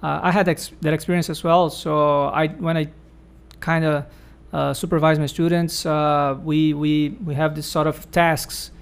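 Slightly high-voiced man explaining patiently. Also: level tone, measured pace, creaky, clear, resonant tone. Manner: thoughtful, explanatory, frequent fillers. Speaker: host and academic supervisor. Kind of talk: casual academic conversation.

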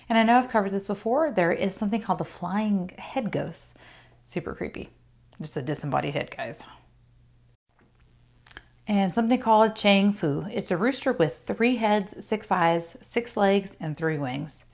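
A woman's voice: on the low side, gravelly, darkish tone. A woman hosting her own podcast, talking casually and chattily about folklore with fillers and asides. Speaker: podcast host. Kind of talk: casual solo podcast talk.

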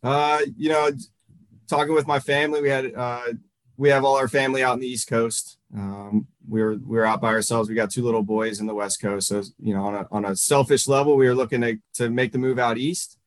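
A man in his thirties from Northern Virginia, a baseball coach answering interview questions in a halting way, full of fillers and restarts. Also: mid-range voice, steady tone, no echo.

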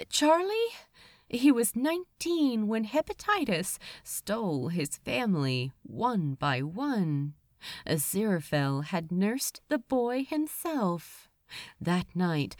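A woman narrating a story from a script, with a dramatic, measured delivery and deliberate pauses. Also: mid-range voice, no echo, studio quality. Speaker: audiobook reader of fan fiction.

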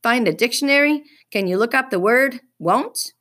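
A woman's voice: a little high, expressive, moderate pace, a bit bright, pitched above the performer's own voice. A woman reading a children's story, voicing a smug, teasing fifth-grade boy with a mocking, needling manner. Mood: smug, challenging.

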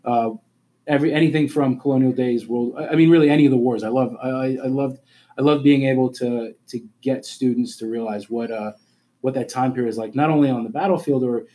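Husky, mellow middle-aged man, earnest. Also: mid-range voice. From born in Alabama, raised in Germany. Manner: earnest, often stops and restarts his sentences. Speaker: high school principal, interview guest. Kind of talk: casual conversational interview.

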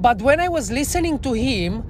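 A woman's voice: high voice, expressive, normal speed, over music. Earnest Romanian-accented woman, candid storyteller. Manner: earnest, self-reflective, non-native phrasing. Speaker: bar trainer, podcast guest.

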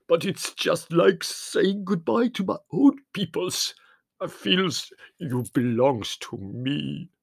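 A man voicing a big, gruff Greek man speaking slow, broken English with a quaver. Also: fairly low pitch, fairly bright tone, no room sound, full character voice. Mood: tearful.

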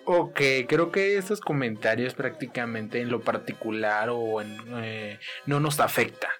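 Halting male interviewee with music behind. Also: mid-range voice, fairly bright tone. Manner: halting, repeats phrases. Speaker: interviewed activist. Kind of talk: conversational interview answers.

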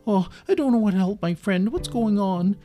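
A man puts on a goofy, loyal storybook doggy. He sounds eager and simple, exclaiming in a raised pitch that bounces around freely. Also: huge pitch swings, normal speed, warm tone, soft background music. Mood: worried, thinking.

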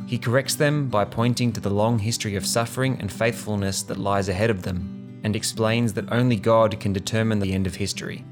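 A man's voice: fairly low pitch, steady tone, a little fast, over music. A man giving a scripted introduction as the presenter of a recorded program.

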